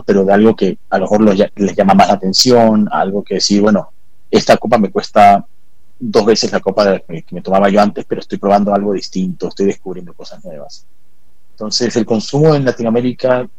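A man born in England and raised in South America, a whisky expert guest talking in a measured, explanatory way. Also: lowish voice, a little mellow, husky, no echo, low-quality recording. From born in England, raised in Peru, Ecuador and Colombia. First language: Spanish. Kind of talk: interview conversation.

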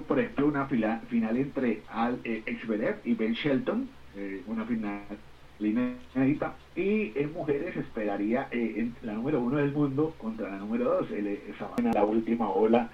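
Halting Colombian radio man, muffled sound. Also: mid-range voice, a little hiss or hum. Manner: halting, repetitive, searching for words. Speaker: radio show co-host. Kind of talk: casual sports chat.

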